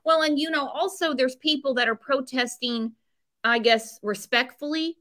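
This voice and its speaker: Woman, high voice, bright tone, agreeable, hesitant. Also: normal speed. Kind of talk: casual panel chat.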